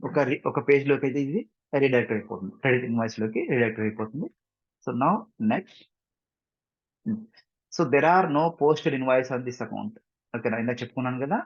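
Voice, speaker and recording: A man with an Indian accent giving an informal step-by-step software tutorial as instructor, mixing in English phrases and often checking understanding with short questions. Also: mid-range voice. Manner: mixes English phrases into his explanations. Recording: dull, muffled lo-fi microphone.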